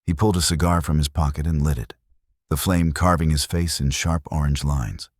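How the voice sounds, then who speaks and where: A man's voice: extremely low pitch, fairly even intonation, moderate pace, no echo, clean studio sound. A man narrating a dramatic scripted Western story.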